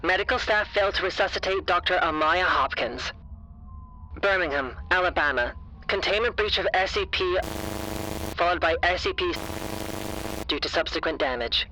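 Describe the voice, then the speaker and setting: A man's voice: high-pitched, moderate pace, pauses often, bright, forceful tone, a little hiss or hum, low-quality recording. An actor playing an impersonal male official who reads out an incident report in an audio drama, formal, clipped and detached. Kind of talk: scripted bulletin in an audio drama.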